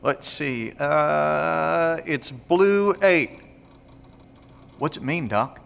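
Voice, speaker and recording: Man acting as slow, bright-voiced folksy country companion. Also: a little high, creaky, clean tone, big-room sound, full character voice. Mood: curious. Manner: folksy, informal. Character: plainspoken country companion, male, curious, good-natured, practical. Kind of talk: audio drama acting.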